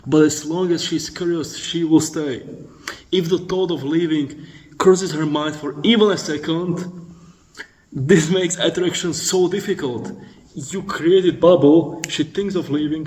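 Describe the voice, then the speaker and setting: A man's voice: a little high, a little slow, a little mellow. A man giving blunt, profane dating instruction as a voice-over, lecturing and addressing the listener directly. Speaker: dating coach.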